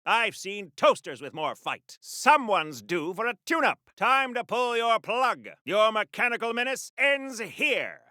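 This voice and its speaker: A patriotic, old-fashioned American superhero from a video game. His voice is high and forcefully bright, recorded very dry. He declaims with wholesome, pompous righteousness in the exclamatory style of a 1940s radio announcer. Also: dry recording, exaggerated voice. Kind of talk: 1940s radio serial hero acting.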